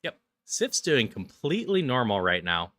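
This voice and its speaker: A game streamer chatting casually on a livestream in an easygoing, halting way. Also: medium pitch, sing-song, a bit bright, dry recording.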